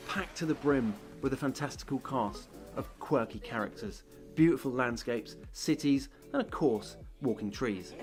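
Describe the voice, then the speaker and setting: A man's voice: a little high, a little mellow. A man reading an upbeat scripted voice-over for a video game trailer.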